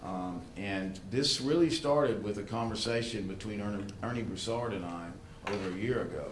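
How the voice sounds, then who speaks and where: A man with a fairly low voice hosts a meeting in a roomy, echoing space. He talks informally and haltingly, doubling back on words. There is faint noise behind him. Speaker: event host. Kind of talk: informal spoken remarks to a conference room. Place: good-sized meeting room. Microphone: livestream microphone, not amplified in room.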